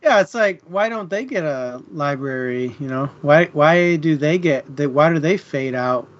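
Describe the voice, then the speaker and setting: A man's voice: a little high, lively intonation, unhurried. A man co-hosting a livestream book discussion, talking in a casual, conversational way. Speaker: co-host of a book club livestream. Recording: dull stream audio, faint background noise.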